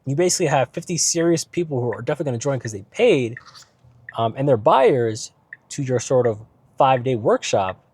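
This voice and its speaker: A man in a car chatting loosely about business, voice worn from being ill. He rambles with fillers and often tosses in a quick "right?" to the listener. His pitch sits in an ordinary middle range. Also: expressive, darkish tone, husky. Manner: rambling, filler-heavy, keeps asking "right?". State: ill, voice starting to go. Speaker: business vlogger. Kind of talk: casual talk while driving.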